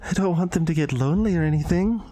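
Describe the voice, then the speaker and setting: A man voicing a shy, obsessive teenage girl from a visual novel, very breathy and hesitant, with stammered, intimate delivery. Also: fairly high pitch, fast, dark tone, no echo, pitched above the performer's own voice, natural voice. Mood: shy, eager.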